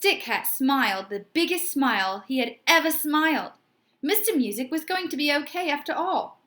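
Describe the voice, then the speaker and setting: High, animated woman reading a children's story. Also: moderate pace. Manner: puts questions to young listeners. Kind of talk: expressive children's storybook narration.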